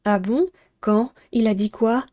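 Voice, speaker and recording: Woman playing a curious, mellow schoolgirl heroine. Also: a little high, unhurried, lightly acted. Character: curious, eager new teenage schoolgirl heroine.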